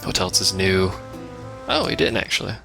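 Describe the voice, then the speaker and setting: Low, gravelly man doing game commentary. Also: wildly swooping pitch, moderate pace. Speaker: let's play host. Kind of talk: casual gameplay commentary. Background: game music and sounds.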